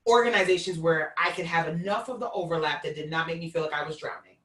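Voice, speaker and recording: A woman's voice: fairly low pitch, fast, reverberant, recorded from a distance. A woman host from New York with a Queens accent, talking candidly and animatedly on a video call. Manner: lively, candid, animated storyteller. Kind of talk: casual conversation on a video call.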